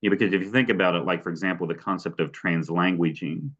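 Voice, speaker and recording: A man's voice: sits low, fairly even intonation, quick pace, a bit bright, dull audio. A man from West Virginia giving an academic webinar talk, hedging often with fillers like "sort of" and "kind of" and restarting his sentences. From West Virginia, USA. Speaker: presenter.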